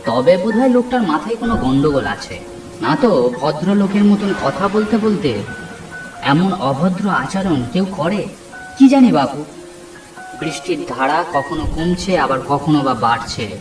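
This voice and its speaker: A man with a slightly high voice tells a scripted ghost story in the first person. His delivery is dramatic and suspenseful. It sounds echoey and a little distant, with music underneath. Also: expressive, measured pace. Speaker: narrator. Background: background music.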